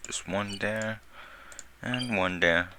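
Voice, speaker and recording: A man's voice: fairly low pitch, slow pace, background noise. A man giving casual gameplay commentary as he records a video game walkthrough. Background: loud game music and effects.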